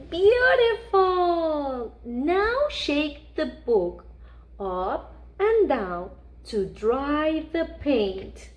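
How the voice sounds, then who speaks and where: Storybook monster, voiced by a woman, friendly and mischievous; high, very slow delivery with big gasps, coaxing little listeners. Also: sing-song, heavily acted. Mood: delighted. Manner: gasping, exclaiming, exaggerated wonder, coaxing young children. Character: Larry, excitable mischievous friendly picture-book monster. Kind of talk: animated children's read-aloud.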